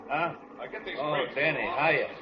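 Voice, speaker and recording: An actor playing an amiable, slightly slow warehouse dealer in a 1940s radio drama, talking in a casual, puzzled way. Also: male voice, fairly high pitch, a crowd in the background. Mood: friendly. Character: amiable, slow-witted working-class produce dealer, a man. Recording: noisy, muffled old radio recording.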